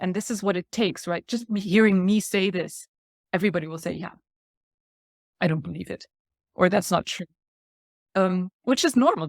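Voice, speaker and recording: A woman's voice: medium pitch, creaky. A woman in her forties, from Thailand, talks through ideas in an earnest, explanatory way. She laughs lightly between points, and her mid-range voice sounds close and dry with no room echo. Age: 40s. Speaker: guest speaker. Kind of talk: reflective podcast talk.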